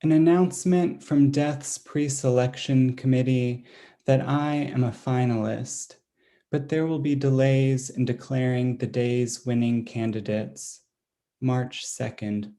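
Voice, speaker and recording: A man reading another poet's diary-like poem aloud, slow and deliberate, closing each entry with a date. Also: medium pitch. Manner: deliberate, dated entries read flatly.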